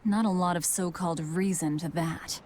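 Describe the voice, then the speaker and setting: A woman's voice: fairly low pitch, fairly even intonation, moderate pace, a little mellow, slight background noise, close to an everyday voice. An actress playing a blunt young woman adventurer in a video game, with a dry, sarcastic delivery. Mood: skeptical. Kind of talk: video game cutscene acting.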